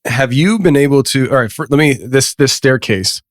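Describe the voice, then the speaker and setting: Man with a cold, hoarse host. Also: mid-range voice, expressive, normal speed, no echo, clean studio sound. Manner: enthusiastic, clears throat. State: getting over a cold, losing voice. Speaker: podcast host. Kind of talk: casual interview.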